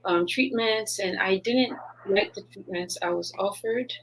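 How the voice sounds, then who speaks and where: A woman's voice: mid-range voice, measured pace, slight background noise, low-quality recording. A woman from Haiti, a business founder, talking earnestly in a casual interview and laughing often.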